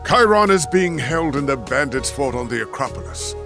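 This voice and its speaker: A man's voice: mid-range voice, animated, normal speed, lightly acted. An actor playing an earnest male ally who gives tactical advice in a video game cutscene. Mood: resolute. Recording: music behind the voice.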